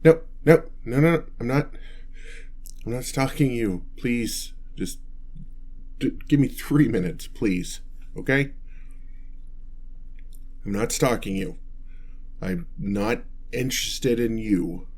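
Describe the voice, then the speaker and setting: A man's voice: medium pitch, takes it slowly, long pauses, a little mellow. A man playing a meek, nerdy, newly turned vampire in an ASMR roleplay, hesitant, stammering and apologetic as he rambles. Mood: flustered, pleading.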